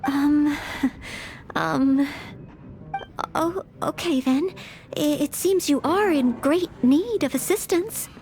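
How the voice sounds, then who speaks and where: A woman's voice: high voice, takes it slowly, a little mellow. A woman playing an earnest, polite young maid knight in a video game, speaking formally and a little hesitantly, eager to help. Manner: formal, courteous, hesitant phrasing. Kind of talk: scripted anime-style video game acting.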